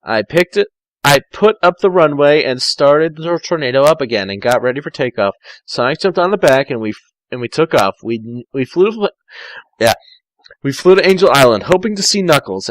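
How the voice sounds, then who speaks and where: A man narrating a story in the first person as an amateur reader, halting and often rereading his phrases. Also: a little high, expressive, no echo, low-quality recording.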